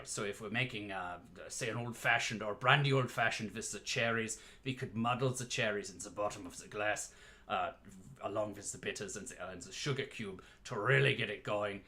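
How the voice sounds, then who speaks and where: Bright-voiced man hosting a playful livestream. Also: on the low side. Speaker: livestream host mixing cocktails as "dungeon barkeep". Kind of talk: casual livestream chat with playful showman patter.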